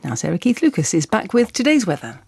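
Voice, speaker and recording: A woman's voice: lowish voice, very animated, quick pace, creaky, a little mellow, husky, no echo. A woman with a British accent reading a formal, scripted radio news bulletin as newsreader. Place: dry broadcast radio studio.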